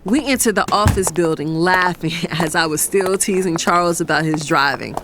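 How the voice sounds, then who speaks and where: A woman's voice: fairly low pitch, normal speed, noisy background, close to an everyday voice. A woman playing a sassy, guilt-torn fiancée who narrates her own romantic drama in a confiding way. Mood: confiding, uneasy. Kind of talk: first-person dramatic narration and romantic dialogue.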